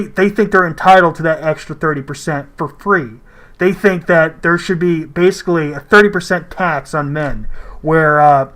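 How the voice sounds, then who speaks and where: A man with a high-pitched voice gives casual reaction commentary. He is blunt and argumentative, swearing freely as he pushes his opinions. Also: fairly bright tone.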